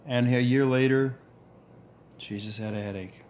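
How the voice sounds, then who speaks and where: A man in his forties with a deep voice, talking earnestly in a reflective monologue, pausing and restarting as he goes. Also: steady tone, a little mellow, muffled recording, slight background noise. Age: 40s. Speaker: vlogger.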